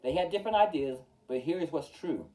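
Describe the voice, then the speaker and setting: A man reading a rhyming children's picture book aloud with rhythmic, emphatic, expressive delivery. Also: fairly high pitch, fairly quick. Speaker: storytime reader. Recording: distant from the mic.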